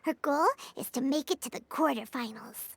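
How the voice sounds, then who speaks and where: A woman voicing a small, perky, childlike fairy companion in a video game, squeaky, excitable and blunt. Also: moderate pace, no room sound. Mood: cheerful.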